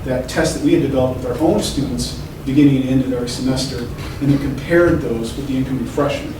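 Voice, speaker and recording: A man's voice: mid-range voice, fast. A man on a panel explaining his department's approach, with frequent restarts. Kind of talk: panel discussion. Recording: echoey room from far off, noisy.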